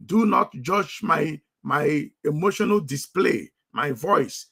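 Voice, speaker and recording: Forceful gravelly man broadcasting. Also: a little high, expressive, slow. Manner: forceful and commanding, emphatic repetition. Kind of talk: impassioned live broadcast.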